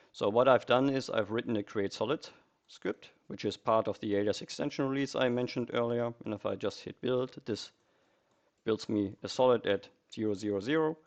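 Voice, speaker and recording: A man narrating a software tutorial step by step in a methodical, explanatory way. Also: on the low side, muffled recording.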